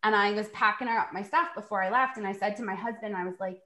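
A woman's voice: mid-range voice, fast, bright, forceful tone. A woman in her forties in a casual podcast chat, telling stories in a chatty way. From New York. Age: 40s. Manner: chatty storytelling, laughs while acting out quotes. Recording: echoey room.

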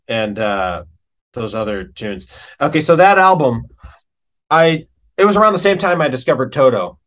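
A man's voice: mid-range voice, fairly bright tone. A man talking casually as an interview guest, in an enthusiastic, slangy, rambling way about his own craft.

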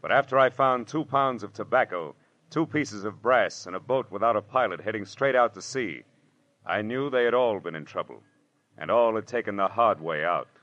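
Wisecracking American gumshoe, world-weary and low-pitched, vintage radio drama, muffled sound. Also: male voice, lively intonation, normal speed, a bit bright, dry recording. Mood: wry storytelling. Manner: wisecracking, slangy, clipped. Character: wry, hardboiled male private detective, world-weary. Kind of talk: 1940s radio drama acting, first-person narration and dialogue. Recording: dull old radio recording with music.

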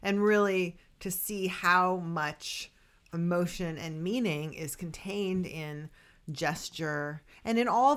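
A woman co-hosting a casual chat, rambling and laughing easily as she talks. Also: fairly low pitch. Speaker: podcast co-host.

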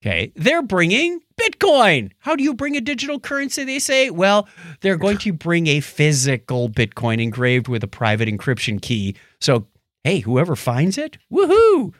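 An animated man co-hosts a casual science news podcast. His voice sits a little high and swings widely in pitch, and he drops wry, jokey asides as he goes. The sound is dry and close. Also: normal speed, no echo. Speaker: podcast co-host. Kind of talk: casual science news chat, paraphrasing articles.

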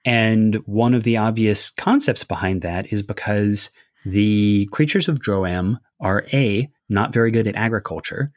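A man's voice: lowish voice, unhurried, dry recording, clean studio sound. A man talking casually about a fantasy setting, explaining ideas at length with many fillers. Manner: rambling, filler-heavy, explanatory. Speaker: game designer discussing a setting. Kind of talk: casual discussion.

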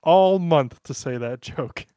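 A man's voice: fairly high pitch, lively intonation, takes it slowly, mellow, clean tone, dry recording, studio quality. An American man co-hosting a podcast in casual, joking chat, listing off sports names. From United States. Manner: joking, rattles off sports lists. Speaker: podcast co-host.

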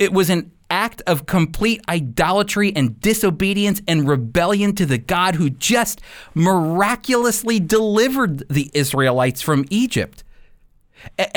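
A man's voice: fairly high pitch, no echo. Earnest male preacher, very dry close sound. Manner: earnest, deliberate phrasing with emphatic repetition. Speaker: preacher and Bible teacher, program host. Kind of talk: sermon, expository Bible teaching.

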